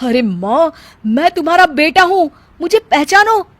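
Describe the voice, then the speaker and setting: Lady doing a worried grown son, pleading, high lively voice, family story. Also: unhurried, no room sound, full character voice. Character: anxious, devoted young adult son.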